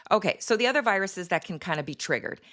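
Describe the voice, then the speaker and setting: A middle-aged woman doctor with a slightly hoarse voice, hosting a casual health talk and explaining in a chatty way with fillers. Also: mid-range voice, expressive, fast, fairly bright tone. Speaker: doctor and health show host.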